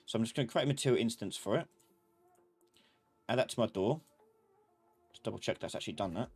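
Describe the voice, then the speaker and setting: A man giving a live software tutorial as an instructor, informally thinking aloud and talking to himself as he works. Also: on the low side, animated, quick pace, husky. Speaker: instructor of a live online class. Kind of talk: live software tutorial, explaining while working.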